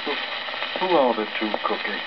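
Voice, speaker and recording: A man's voice: on the low side, very animated, normal speed. A dull old record with strong crackle holds a sailor's voice read by a male storyteller. He is a credulous storybook shipmate, curious and uneasy, always questioning. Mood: uneasy curiosity.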